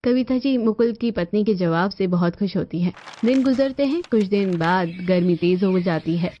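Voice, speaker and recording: Woman narrator, mid-pitched, slightly dull recording. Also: normal speed, warm tone, a little hiss or hum. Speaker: story narrator. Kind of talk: scripted narration of a Hindi cartoon family story. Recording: dull lo-fi audio over music.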